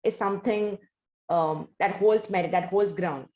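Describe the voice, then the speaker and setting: A woman's voice: mid-range voice, a little slow, dark tone. A woman with an Indian accent interviewing conversationally, circling toward her questions in long, repetitive sentences. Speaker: interviewer, host. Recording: echoey room, slightly dull sound.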